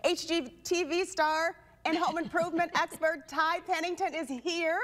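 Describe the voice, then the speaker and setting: A woman's voice: very high voice, fairly even intonation, moderate pace, fairly bright tone, long reverb tail. A young woman anchoring a local TV news segment, bubbly and effusive as she interviews guests in a studio.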